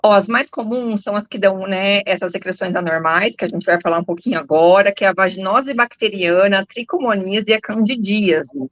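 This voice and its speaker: A woman's voice: mid-range voice, a little fast, hardly any pauses, dry recording, muffled recording. A woman gynecologist from São Paulo state, explaining a medical topic and tagging phrases with "né", heard over a video call. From São Paulo state, Brazil. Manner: explanatory, frequent "né" tags. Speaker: gynecologist guest.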